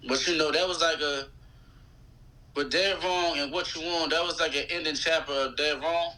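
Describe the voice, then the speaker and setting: A man in his thirties with an American accent and a very bright, sharp voice, pitched a little high. He chats casually as a guest on a show. His talk is loose and slangy. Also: steady tone, normal speed, low-quality recording. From United States. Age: 30s. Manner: slangy, conversational. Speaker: guest, rapper. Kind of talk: casual interview chat.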